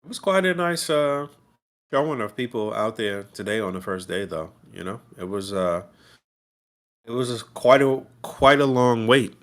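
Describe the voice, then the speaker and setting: A man's voice: mid-range voice, expressive, moderate pace, fairly bright tone. A man co-hosting a podcast in casual, profane, laughing banter. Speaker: podcast co-host. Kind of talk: casual chat.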